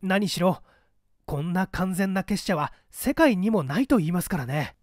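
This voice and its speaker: A man's voice: high-pitched, expressive, normal speed, no echo, studio quality. A man voicing an earnest, anxious secretary in an audiobook, speaking urgently and respectfully to his superior. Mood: earnest warning. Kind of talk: audiobook character acting.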